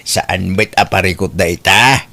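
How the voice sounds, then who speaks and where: A man's voice: low voice, takes it slowly, gravelly, bright, forceful tone, heavily acted. A man acting a jovial, welcoming older father in an audio drama.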